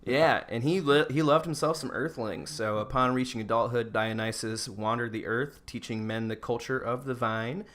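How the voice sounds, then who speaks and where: A man's voice: mid-range voice, moderate pace, hardly any pauses, a bit bright. A man co-hosting a podcast, giving a casual, joking rundown in an informal, giggly way. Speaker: podcast co-host presenting a rundown. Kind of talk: casual comedic presentation with banter.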